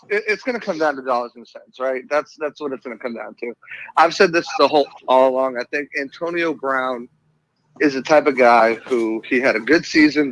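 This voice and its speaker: A man's voice: mid-range voice, fairly bright tone, low-quality recording. A man with a Boston accent, giving casual sports commentary as a guest analyst.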